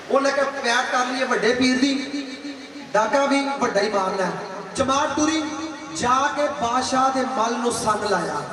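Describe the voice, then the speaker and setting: A man preaching to a gathering, telling a religious story dramatically, acting out dialogue and repeating phrases for emphasis. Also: very high-pitched. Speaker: Islamic preacher. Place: large gathering with audience. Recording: echoey reverberant hall, distant mic, crowd.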